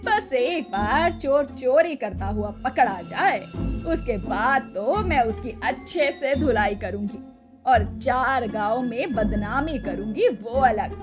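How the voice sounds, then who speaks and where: A simple village housewife from a cartoon story, played by a woman narrator. Her voice is high and very animated, earnest and complaining, over a music bed. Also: takes it slowly, lo-fi sound. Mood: indignant. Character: simple, devout village housewife, indignant but supportive. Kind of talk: cartoon village tale acting.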